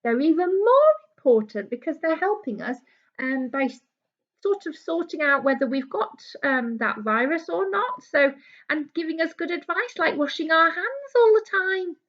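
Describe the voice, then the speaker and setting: A woman's voice: extremely high pitch, animated, normal speed, muffled recording. A British woman reading a children's book aloud like a primary teacher, explaining things simply for young listeners. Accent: British English.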